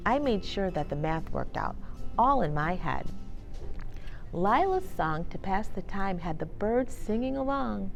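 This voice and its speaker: A woman host reading a children's book aloud in an animated, expressive storytelling manner. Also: medium pitch, gravelly. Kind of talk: expressive storybook read-aloud with casual introduction. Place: inside a bookstore.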